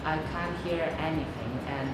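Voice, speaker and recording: A woman's voice: deep voice, moderate pace, loud music behind the voice. A young female student in her teens giving prepared interview answers. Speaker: student competitor. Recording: very echoey room, loud background noise.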